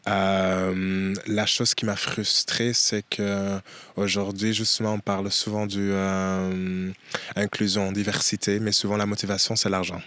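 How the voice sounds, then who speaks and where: A young man from Belgium, a dancer and performance artist, speaking as an interview guest outdoors at a festival, pausing often with fillers. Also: deep voice, little pitch movement, fairly bright tone, no room sound. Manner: halting, many pauses and "euh" fillers. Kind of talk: casual interview.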